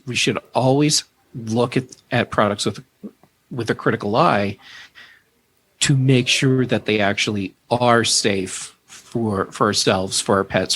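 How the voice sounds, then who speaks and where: A man as a podcast guest, giving casual tech explanations in a measured, careful way. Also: medium pitch, fairly bright tone. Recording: clear background noise.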